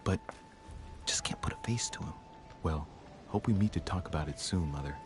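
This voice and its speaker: An actor voicing a young male noble investigator in a video game, measured and musing, often dropping to a hushed voice. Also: deep voice, close to an everyday voice. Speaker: game protagonist. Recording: game audio with background noise.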